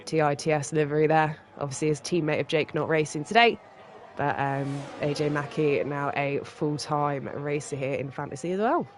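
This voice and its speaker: A live sim racing commentator with a stuffy cold, reacting quickly and excitedly to lap times. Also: medium pitch, slight background noise. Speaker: race commentator.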